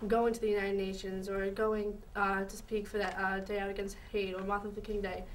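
A woman's voice: mid-range voice, level tone, fairly quick, far from the mic, slight background noise, low-quality recording. A teenage girl speaking casually in an interview, rambling with many fillers, in a school library. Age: teens. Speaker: student in program.

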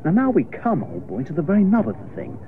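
Breezy young English gentleman idler, radio comedy, exclamatory and slangy, lively rather high voice. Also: fast. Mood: flustered, confiding. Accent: upper-class English. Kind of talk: radio comedy drama acting. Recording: muffled, noisy radio play recording.

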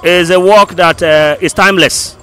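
A Nigerian man in his thirties answering an interview deliberately, in short phrases, into a handheld mic. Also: sits high, fairly even intonation, bright, forceful tone, clear, resonant tone, soft background music. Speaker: audience member being interviewed. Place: indoor hallway by hall doors. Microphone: handheld microphone held close.

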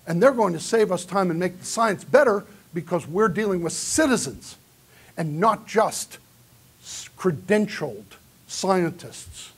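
Husky man giving an emphatic keynote. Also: high-pitched, animated, measured pace. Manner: earnest, emphatic lecturing. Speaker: keynote speaker. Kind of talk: conference keynote with audience questions. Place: large conference hall with round tables. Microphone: far camera in the audience.

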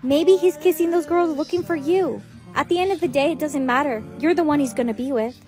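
A woman's voice: high-pitched, brisk, music in the background, close to an everyday voice. A woman playing a caring, dramatic female figure who explains things expressively in a short melodrama skit. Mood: reassuring. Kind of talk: acted short melodrama skits.

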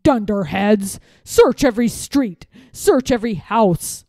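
A woman's voice: mid-range voice, animated, takes it slowly, a little mellow, no echo, clean studio sound. Woman voicing an angry, bossy old lord. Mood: angry, commanding. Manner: commanding, scolding.

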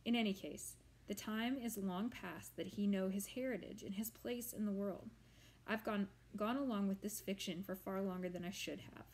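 Woman reader voicing a millennia-old male storybook monster; lofty, tired, drawn-out lecturing delivery. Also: mid-range voice, a little mellow, lightly acted. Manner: grand, slow and formal. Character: ancient male swamp monster, weary and pompous.

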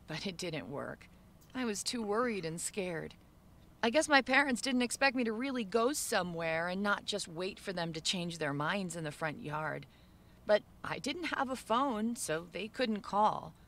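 A woman giving measured, subdued first-person narration for an animated story video. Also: medium pitch.

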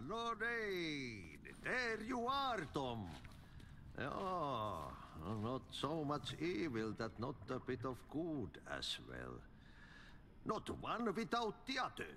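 An actor playing an older, cryptic, cheerful Finnish-accented janitor who speaks in a folksy, unhurried sing-song in a video game. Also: male voice, fairly high pitch, huge pitch swings, sharp tone, in a large hall, slight background noise, heavily acted. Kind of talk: video game character acting.

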